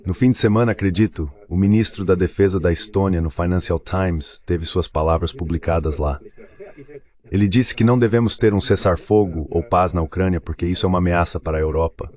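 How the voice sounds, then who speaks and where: A man with a Brazilian Portuguese accent reading translated political commentary as a voice-over in a measured, formal, articulate way. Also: low voice, a little mellow. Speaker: dubbed translation voice of an interview guest.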